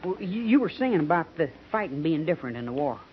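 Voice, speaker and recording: Young American cavalryman, loyal and folksy, swooping pitch, dull vintage radio sound. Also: sing-song, fast talker, slightly rough. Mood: puzzled, matter-of-fact. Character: earnest young cavalry trooper, trusting and loyal. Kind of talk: 1950s American radio western acting. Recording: dull, noisy 1950s radio transcription.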